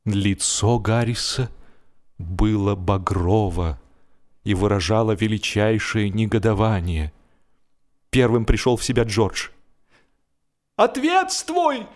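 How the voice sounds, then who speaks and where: A man reading a humorous story as an expressive, scripted narration, in a measured, theatrical storytelling manner. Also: lowish voice. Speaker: audiobook narrator. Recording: music behind the voice. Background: music.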